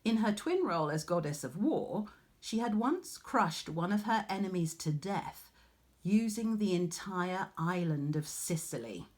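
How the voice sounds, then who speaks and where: A woman reading a storybook aloud like a teacher, expressive, with dramatic pauses. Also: on the low side.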